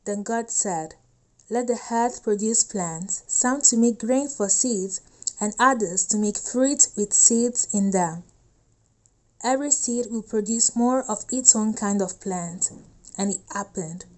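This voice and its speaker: A woman's voice: medium pitch, slow, rough recording. A woman giving a scripted reading of a scripture story. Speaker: volunteer scripture reader.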